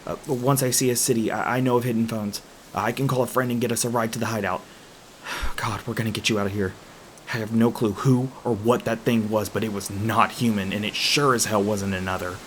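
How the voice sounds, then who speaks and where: A man's voice: fairly low pitch, fairly even intonation, a bit bright, a little hiss or hum, natural voice. Man playing an anxious, earnest young male hunter. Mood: relieved, excited, then shaken. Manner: earnest, urgent, halting. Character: edgy, anxious young male hunter, protective and foul-mouthed. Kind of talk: audio roleplay acting.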